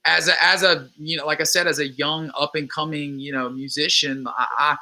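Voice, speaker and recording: A man's voice: a little high, level tone, normal speed, bright, forceful tone. A man in his twenties from Louisiana, a guest musician talking casually and earnestly, with many restarts and fillers. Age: 20s. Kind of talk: casual interview chat.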